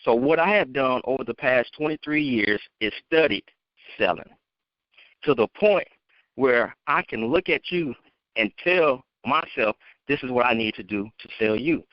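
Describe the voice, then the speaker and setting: A man's voice: mid-range voice, animated, normal speed, no room sound. Male motivational speaker, mid-range, emphatic unscripted delivery, dry lo-fi phone conference sound. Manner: emphatic, direct address to listeners. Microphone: phone conference line. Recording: dry, dull lo-fi conference call.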